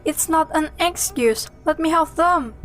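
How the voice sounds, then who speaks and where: An insistent, worried aunt with a high, urgent voice that sounds distant from the mic, as a woman puts her on. Faint music sits under this student radio drama. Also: a little slow, a bit bright.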